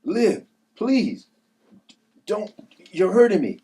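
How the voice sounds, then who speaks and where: Man as a husky, uneasy uncle. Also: high voice, big pitch swings, slow pace, mellow, full character voice. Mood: pleading. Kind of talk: table read of a drama script.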